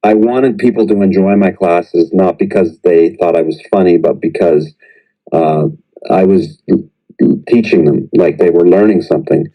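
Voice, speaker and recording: A middle-aged man, a professor who teaches English, talking through his teaching experience in a relaxed podcast chat, often pausing and restarting his sentences. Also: low voice, highs cut off. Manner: reflective, hesitant, many restarts and fillers. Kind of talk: conversational podcast interview.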